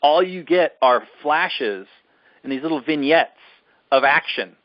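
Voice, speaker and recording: A man's voice: a little high, animated, unhurried, no room sound, lo-fi sound. A man co-hosting a casual film discussion, ranting in an exasperated, emphatic way and repeating himself for emphasis. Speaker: podcast co-host reviewing films.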